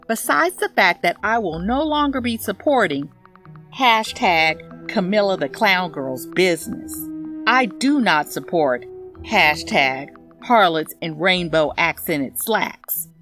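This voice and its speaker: A woman's voice: fairly low pitch, animated, measured pace, fairly bright tone, soft background music. A woman performing a petty, self-righteous woman's sardonic social media rant as a scripted comedy monologue. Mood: indignant, petty. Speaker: narrator, audio fiction reader.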